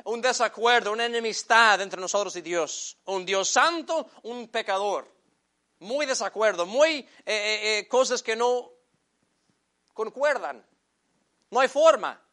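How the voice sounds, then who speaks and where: A man whose first language is English preaching a sermon in Spanish, addressing the congregation as brothers and slowing down to stress key phrases. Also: high voice, lively intonation, pushed and bright. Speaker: pastor.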